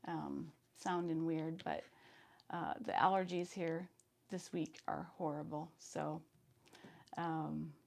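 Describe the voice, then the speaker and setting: A woman in her fifties hosting a sewing live stream, chatting casually and reading viewer comments, her voice hoarse today. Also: deep voice, steady tone, takes it slowly. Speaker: sewing channel host.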